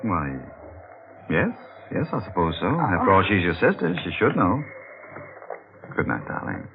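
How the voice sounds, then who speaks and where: Casting: solemn leading man, deep voice, vintage radio melodrama, hissy dull recording. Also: big pitch swings, a little slow, exaggerated voice. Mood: tender. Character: earnest, sombre, low-voiced male fiancé and lover. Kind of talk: 1940s radio drama acting. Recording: muffled, noisy old radio recording.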